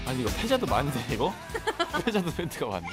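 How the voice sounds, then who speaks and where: Woman co-host, giggly, bantering, lively pitch, music clearly underneath. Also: fairly quick. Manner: giggly, bantering, mixes in English. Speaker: radio co-host. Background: background music.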